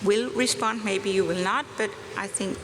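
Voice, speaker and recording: A woman presenting at a conference workshop, talking gently and encouragingly, with halting restarts. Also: medium pitch. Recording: conference hall reverb, faint noise.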